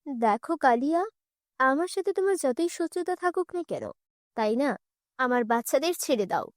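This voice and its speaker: A woman's voice: high-pitched, big pitch swings, normal speed, no room sound, clean studio sound. A woman voicing an anxious female school principal in a cartoon tale, pleading and submissive.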